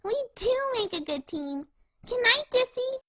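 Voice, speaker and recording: A woman voicing a cheerful, excitable young female pony in a cartoon style, bubbly and giggly. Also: very high-pitched, measured pace, dry recording, studio quality, exaggerated voice. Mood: happy, eager. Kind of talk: cartoon character acting in fan fiction reading.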